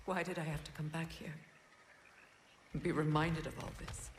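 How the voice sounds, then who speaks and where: A woman's voice: mid-range voice, natural voice. A woman playing a proud, grieving, vengeful goddess in a video game, with a guarded, cutting delivery. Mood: wistful. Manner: guarded, cutting, dryly sardonic. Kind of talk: cinematic video game acting.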